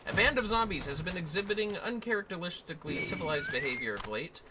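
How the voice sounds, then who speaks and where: A man's voice: sits high, very animated, fast, background noise. A man hosting a gaming livestream, chatting casually and playfully as he guides another player along. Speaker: livestream host. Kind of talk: casual gameplay chat and guidance. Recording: loud game audio behind voice. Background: loud game music and effects.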